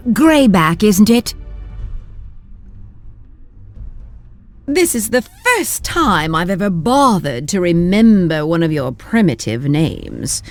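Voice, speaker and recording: Slow, haughty, cruel villainess. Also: female voice, mid-range voice, a little mellow. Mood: mocking. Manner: condescending, drawn-out, imperious. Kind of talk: video game villain acting.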